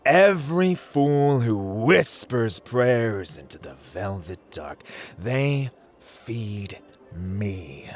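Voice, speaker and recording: A man playing a playful, arrogant god of luck in an ASMR roleplay, with a teasing, drawling, condescending manner and dramatic pauses. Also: medium pitch. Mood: proud, menacing. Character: playful, arrogant god of luck, amused and dangerous gambling master. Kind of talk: ASMR roleplay, theatrical monologue to listener.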